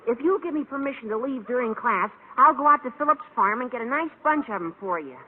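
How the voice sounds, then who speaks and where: Squeaky-voiced American teenage schoolboy. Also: male voice, lively intonation, quick pace. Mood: eager scheming. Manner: gawky, mock-innocent, over-earnest. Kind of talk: 1940s-50s American radio sitcom acting. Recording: tinny old radio recording, faint hiss.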